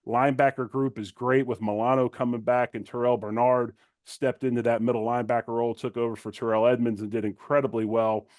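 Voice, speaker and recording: A man's voice: medium pitch, level tone, fairly quick, hardly any pauses, darkish tone, no echo, clean studio sound. A middle-aged man, a former player turned commentator, giving conversational sports analysis in an informal, plain-spoken way.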